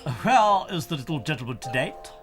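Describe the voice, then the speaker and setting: Lilting, courteous hotel waiter. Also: male voice, on the high side, very animated, moderate pace, music in the background. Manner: polite, formal. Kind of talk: audiobook full-cast drama.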